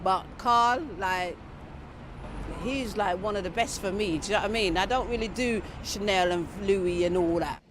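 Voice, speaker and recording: A man with a high voice chats casually in a street interview. Clear urban noise surrounds him. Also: moderate pace. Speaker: member of the public.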